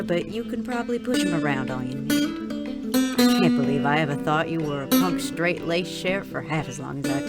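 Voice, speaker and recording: Loud guitar music backs a salty female saloon keeper played by an actress in a Western audio drama. She is brash and folksy, scolding with affection in a low voice.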